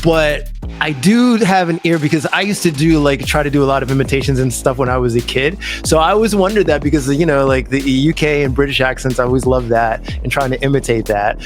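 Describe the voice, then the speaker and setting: A man's voice: mid-range voice, fairly quick, faint music behind. A man hosting an interview, asking long, enthusiastic questions in casual chat. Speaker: interview host.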